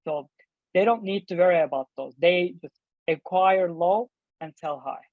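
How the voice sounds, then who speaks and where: A man's voice: sits high, unhurried, no room sound. Turkish-accented man on a phone-like line. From Turkey. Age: 30s. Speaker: guest, online seller and business owner. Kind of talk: interview answers, explaining. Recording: thin phone-like call audio.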